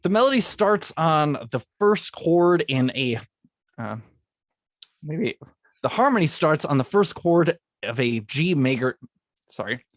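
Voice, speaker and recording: A man, a guest composer, recording semi-scripted podcast narration in an explanatory way with halting phrasing. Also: fairly high pitch, big pitch swings, no room sound.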